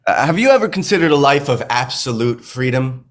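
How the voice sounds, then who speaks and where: A man's voice: mid-range voice, big pitch swings, fairly quick, close to an everyday voice. A man playing an unhinged, manipulative film director in a screenplay table read, with a stammering, theatrical, erratic delivery. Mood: menacing, coaxing.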